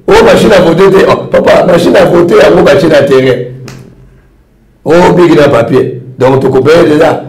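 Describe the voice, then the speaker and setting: A man from Congo, a political party leader, answers an interviewer's questions. His voice sits a little high, and he loops back over the same phrases. The room around him echoes noticeably. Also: moderate pace, darkish tone. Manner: rambling, repetitive phrasing. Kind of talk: interview answers mixing French and Lingala.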